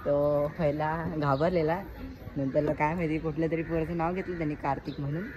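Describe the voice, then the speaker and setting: A man's voice: on the high side, little pitch movement, fairly quick, highs cut off, crowd noise. A young man chats casually and in slang to his vlog viewers. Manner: slangy, addresses viewers directly. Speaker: vlog host. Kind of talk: casual prank vlog chat. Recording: noisy outdoor background with traffic.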